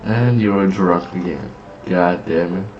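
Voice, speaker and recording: A man's voice: deep voice, takes it slowly, faint music behind. A man making offhand reactions while playing a game, recorded on a dull, slightly distant mic.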